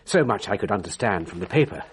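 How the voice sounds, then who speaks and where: An actor playing a genteel, fussy old bachelor gentleman in a radio drama, telling his story precisely with theatrical pauses. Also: lowish voice, expressive, slight background noise, natural voice. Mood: earnest.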